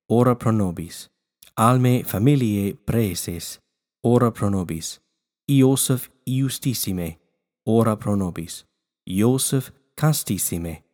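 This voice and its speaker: A man hosting a prayer podcast, still congested after losing his voice, who moves from quick announcements to a slow, measured litany. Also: lowish voice, a little mellow. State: congested, recovering from lost voice. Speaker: podcast host. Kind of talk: podcast talk and prayer recitation.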